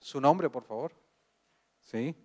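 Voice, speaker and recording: A man with a Colombian accent giving a university lecture, speaking slowly and deliberately and putting questions to the audience. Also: medium pitch, warm tone. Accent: Colombian Spanish. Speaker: guest speaker. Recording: long hall reverb.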